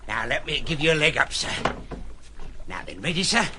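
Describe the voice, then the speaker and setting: An actor playing a rough, excitable seaman in an audio drama, servile one moment and snarling the next. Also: on the high side, moderate pace, background noise. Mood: eager, servile.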